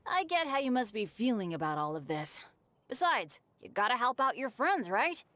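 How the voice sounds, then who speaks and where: Upbeat teen boy adventurer for a game, bit high, boyish, earnest, very animated. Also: normal speed, no echo. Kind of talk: video game voice acting.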